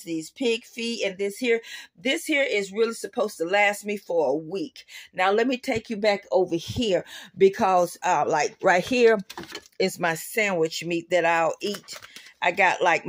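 A woman talks into her handheld phone as she walks around her home kitchen. She rambles in a plain, everyday way, with plenty of ums and restarts. Her voice sits at an ordinary middle pitch. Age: adult student. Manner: rambling, plain-spoken, with many fillers and restarts. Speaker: vlogger. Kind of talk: casual vlog, showing her food. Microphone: handheld phone camera.

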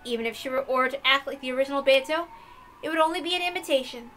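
A woman's voice: high voice, rapid-fire, fairly bright tone, soft background music. A woman reading a visual novel aloud as scripted, dramatic narration.

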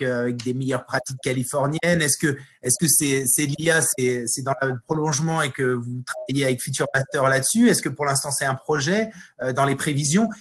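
A man's voice: mid-range voice, fairly bright tone. A man moderating a panel over a video call, asking long questions with many restarts. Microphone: video call webcam.

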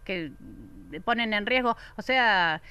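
An Argentine-accented woman in her thirties talks on a studio podcast at a desk mic. Her voice is bright, crisp and clear, set a little high, and the sound is close and dry. She speaks emphatically and pauses while searching for words. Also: dry recording. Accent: Argentine Spanish. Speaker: guest. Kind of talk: casual podcast chat. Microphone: desk mic on stand.

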